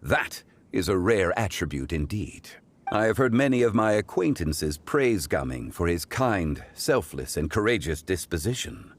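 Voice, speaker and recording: Zhongli, a deep-voiced, courteous gentleman sage. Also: sing-song, exaggerated voice. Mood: composed, admiring. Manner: formal, measured, eloquent. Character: Zhongli, calm wise courteous scholarly gentleman. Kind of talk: scripted video game dialogue.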